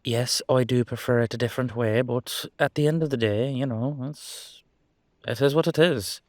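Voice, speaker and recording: Proud, defensive otherworldly gentleman voiced by a male actor, formal and insistent, pushed louder, dry roleplay-drama recording. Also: mid-range voice, normal speed, no room sound, natural voice. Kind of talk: roleplay audio drama, one-sided conversation.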